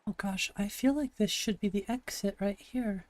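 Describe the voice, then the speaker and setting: Gravelly-voiced woman streamer, dry close mic. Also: medium pitch, normal speed, dry recording. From Montana, USA. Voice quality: gravelly, slightly raspy. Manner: chatty, thinks aloud, reads and answers chat. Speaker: game streamer. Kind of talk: casual live-stream commentary while playing.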